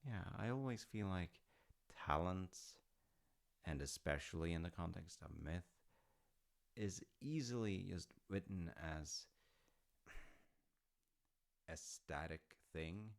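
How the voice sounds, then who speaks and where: A man's voice: low voice, expressive, slow pace, gravelly, long pauses, full character voice. A man playing an affectionate, proud dad in an audio roleplay, rambling through stories in a musing, conversational way with hesitant asides. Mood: musing, fond. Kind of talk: intimate rambling storytelling roleplay.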